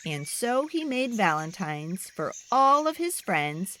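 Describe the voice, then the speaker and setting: Very animated woman reading a picture book. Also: mid-range voice, slow pace, slight background noise. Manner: animated, dramatic storytelling. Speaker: read-aloud storyteller. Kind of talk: expressive children's picture book narration.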